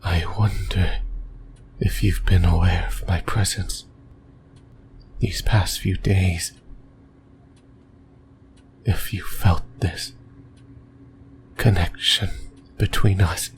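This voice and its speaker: Man acting a slow, low, whispering demon. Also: fairly even intonation, a little hiss or hum. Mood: yearning, possessive. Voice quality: breathy whisper. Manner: hesitant, rambling, intimate. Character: obsessive, lonely male sleep paralysis demon. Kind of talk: whispered ASMR horror roleplay monologue.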